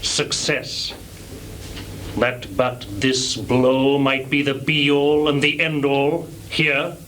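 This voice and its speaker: A male stage actor playing a tormented, guilt-ridden, ambitious murderer in a measured, weighty Shakespearean delivery with long pauses, recorded live in a theatre. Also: medium pitch, pushed and bright, a little hiss or hum, low-quality recording.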